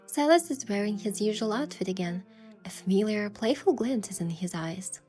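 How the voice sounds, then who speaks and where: A woman plays a young romance-game heroine, sincere and tender with a playful side. She tells her story in first person, soft and measured, at a middle pitch, with expressive delivery and music faintly behind her. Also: natural voice. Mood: reflective narration. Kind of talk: scripted first-person story narration and dialogue.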